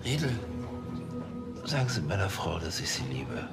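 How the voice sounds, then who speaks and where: An actor playing a self-pitying, pompous police chief who speaks weakly, as if dying. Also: male voice, low voice, big pitch swings, moderate pace, creaky, over loud music, full character voice. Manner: pompous, theatrical. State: weak, as if dying.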